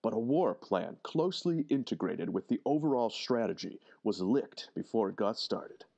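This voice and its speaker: An actor playing a distinguished, formal senior government official in a deliberate old-time radio drama style. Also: male voice, fairly low pitch, expressive, creaky, warm tone, dull audio. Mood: grave, formal.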